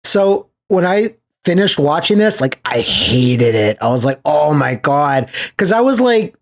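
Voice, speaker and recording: A man's voice: fairly high pitch, a little slow. A man co-hosting a podcast, chatting casually and telling stories with enthusiasm, quoting reactions and swearing freely. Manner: animated storyteller, acts out quotes, swears casually. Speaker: podcast host.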